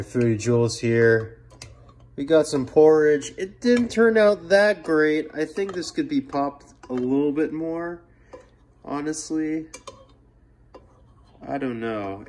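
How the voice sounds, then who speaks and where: A man with a cold, congested, casually chatting and rambling through a home cooking vlog in his kitchen. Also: medium pitch. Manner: chatty, rambling, self-correcting. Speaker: food vlogger.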